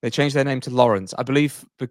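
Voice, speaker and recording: Man, medium pitch, casual podcast chat, rambling, playful, jokey, dry close sound. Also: quick pace, no room sound. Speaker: podcast co-host.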